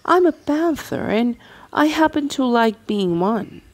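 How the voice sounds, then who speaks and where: A woman's voice: high voice, animated, measured pace, darkish tone, slight background noise, voice pushed up. A woman voicing a proud, reluctant male panther who speaks in a dignified, mildly exasperated way in a bedtime storybook. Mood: indignant.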